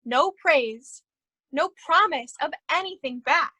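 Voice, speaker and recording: Woman acting upset suspicious lady in a play reading, sharp bright voice, dry sound, keeps pushing. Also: sits high, expressive, slow, no room sound, heavily acted. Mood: aggrieved. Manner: insistent, argumentative. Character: aggrieved, suspicious woman accusing a scheme of cheating her.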